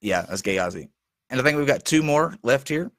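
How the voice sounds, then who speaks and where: A man hosting a casual talk show, losing his voice and talking haltingly with many fillers. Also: mid-range voice, lively intonation, no room sound, studio quality. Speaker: show host.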